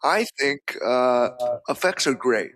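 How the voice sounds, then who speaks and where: A man's voice: medium pitch, lively intonation, slow pace, fairly bright tone. A man who works as a freelance voice actor, chatting as a guest in a hesitant way, full of restarts and "you know". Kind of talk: casual chat.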